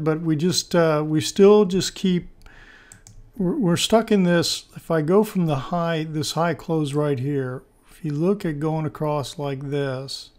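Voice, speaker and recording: A man with a hoarse voice giving informal stock market commentary as host, thinking aloud in a halting, conversational way. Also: fairly high pitch, darkish tone. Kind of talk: informal technical analysis commentary.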